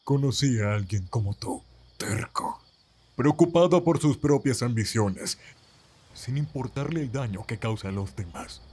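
A man with a Latin American accent playing an earnest, frightened but defiant captive in an animated fandub. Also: mid-range voice, a little slow, slightly rough. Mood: wary. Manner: earnest, dramatic. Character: frightened, defiant man pleading with his captor. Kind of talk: amateur animated fandub acting.

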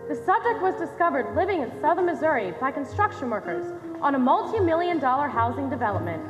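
Young woman playing a high-pitched formal scientist on stage. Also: big pitch swings, over music. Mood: formal, proud. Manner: projected, formal, deliberate. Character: earnest, formal woman scientist presenting a discovery. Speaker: stage actor in a high school one-act play. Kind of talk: theatrical stage speech, formal presentation. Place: on stage in a large dark auditorium. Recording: echoey theater hall, long reverb.

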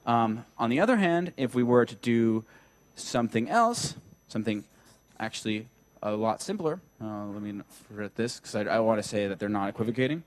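A man's voice: fairly low pitch, expressive, a little fast. A young man giving a technical talk at a meetup, thinking aloud with many fillers and restarts while he draws on a flip chart. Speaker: speaker at a tech meetup. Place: meetup room with a projector.

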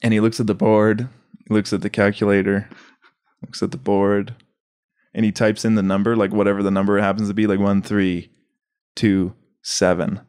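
A man chatting casually as a podcast host, rambling through comedy sketch pitches and acting out bits as he explains. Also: flat delivery, normal speed, darkish tone, clear, resonant tone. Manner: rambling, acts out lines as he explains.

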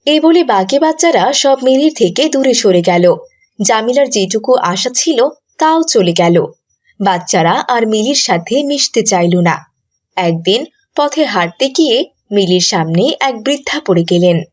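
High-voiced woman narrating a story. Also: animated, a little slow. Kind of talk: scripted children's story narration.

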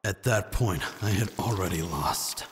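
A man's voice: low voice, measured pace, in a large hall, background noise, pitched below the performer's own voice. A man voicing a hardened, proud assassin in an anime fan dub, speaking in a grim, restrained manner. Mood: grim, resigned. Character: hardened adult male assassin, proud, brooding, cynical. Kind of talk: anime manga fan dub, inner monologue.

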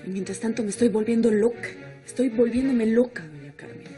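A woman's voice: fairly high pitch, a little fast, faint music behind, natural voice. An actress playing a young woman in a telenovela, anxious and pleading about her absent lover. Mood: desperate. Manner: pleading, soft. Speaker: heroine. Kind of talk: 1990s telenovela acting.

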